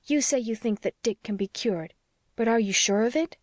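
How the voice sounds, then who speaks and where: A woman's voice: a little high, moderate pace, dry recording, clean studio sound, voice pushed up, close to an everyday voice. A woman voicing a passionate, protective young wife who argues in an emphatic, pleading way, as in an audiobook. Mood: worried, insistent. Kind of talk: audiobook character dialogue.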